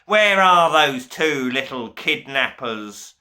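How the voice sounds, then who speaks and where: A man voicing a tyrannical, bellowing villain duke who shouts orders. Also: medium pitch, lively intonation, takes it slowly, pitched above the performer's own voice, heavily acted. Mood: furious. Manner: yelling, commanding.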